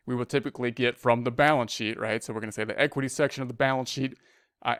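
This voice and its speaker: A man with a smooth, clear, mid-pitched voice leads a tutorial in a very dry recording. He talks conversationally, thinking aloud step by step. He often restates a point in slightly different words as he explains it. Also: fairly bright tone, no room sound. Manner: conversational, repeats and rephrases as he explains. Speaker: accounting instructor. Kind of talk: screencast tutorial, thinking aloud through a worksheet.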